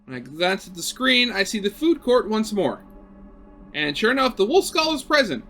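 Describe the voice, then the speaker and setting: A young man reading a visual novel aloud as a let's play host, expressive and breaking into casual asides. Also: high voice, moderate pace, faint music behind.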